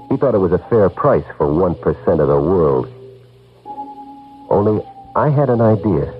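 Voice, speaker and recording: An actor playing a tough, loyal investigator in an old-time radio drama, blunt and slangy. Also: male voice, low-pitched. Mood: wry narration. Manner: blunt, slangy, world-weary. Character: tough, loyal male hard-boiled investigator. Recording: muffled old radio recording, faint music.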